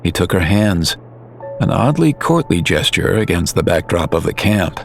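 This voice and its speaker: A man's voice: low-pitched, big pitch swings, normal speed, gravelly, faint music behind. A man reading scripted dramatic narration for an audio drama.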